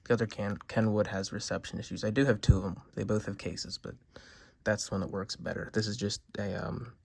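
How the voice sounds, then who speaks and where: A man's voice: on the low side, steady tone, fairly quick, darkish tone. A man giving a casual, unscripted product review, talking in a rambling, hesitant way at a desk. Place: indoors at a desk. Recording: clear background noise, homemade video.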